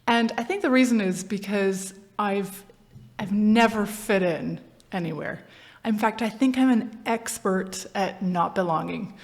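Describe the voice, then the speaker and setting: A woman's voice: medium pitch, a little slow, creaky. A woman in her forties with an American accent, talking animatedly as a podcast guest. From Brazil. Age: 40s. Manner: animated, self-deprecating, many restarts. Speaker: podcast guest, agency founder. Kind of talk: casual interview chat.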